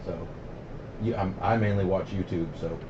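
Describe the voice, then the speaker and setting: A middle-aged American man with a lowish voice chats casually over CB radio. The audio is dull and roomy with clear noise, and he talks in a plain-spoken, opinionated way with radio slang. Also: fairly even intonation, normal speed. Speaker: CB radio operator hosting a radio night. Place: CB base radio station.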